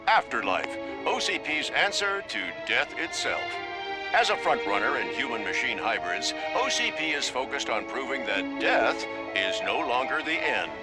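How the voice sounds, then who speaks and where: Prominent music swells under a male voice that moves widely in pitch. It is a grandiose corporate announcer from a video game promo, performed by a man, portentous and polished in every phrase. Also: big pitch swings, moderate pace, natural voice. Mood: solemn, grand. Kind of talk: scripted promotional narration.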